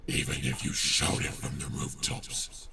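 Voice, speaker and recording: A man voicing a cruel, menacing monster in a dramatic fan fiction reading, with a slow, growling, taunting delivery. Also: bright, forceful tone, distant mic. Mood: cold, taunting. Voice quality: very deep, gravelly, breathy growl.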